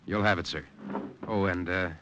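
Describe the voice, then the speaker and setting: An actor playing a resolute, respectful American agent in a 1950s radio drama, with a dutiful clipped manner. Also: male voice, low-pitched, steady tone, moderate pace, bright, forceful tone, slight background noise.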